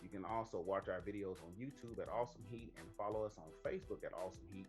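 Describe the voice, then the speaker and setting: A man's voice: low voice, quick pace, over music. A man co-hosting a movie talk show, chatting casually in an emphatic, sweary way. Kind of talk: casual chat.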